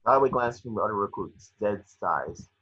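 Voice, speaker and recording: A man reading screenplay action lines aloud in a somewhat halting way, as narrator of an amateur table read. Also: on the low side, animated, sharp tone, breathy edge, dull audio. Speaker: reader of action descriptions.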